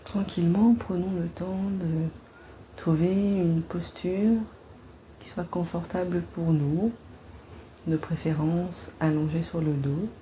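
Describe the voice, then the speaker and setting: Low-voiced lady doing a guided meditation, super slow n gentle, bit of noise behind her. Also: low-quality recording. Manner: gentle, soothing, unhurried delivery with long pauses. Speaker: psychologist guiding a meditation. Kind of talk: guided body-scan meditation.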